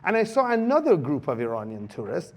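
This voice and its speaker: A man giving a lecture at a conference, telling a story with many fillers and pauses. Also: on the high side, wildly swooping pitch. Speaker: guest lecturer. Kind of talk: conference talk. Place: lecture hall.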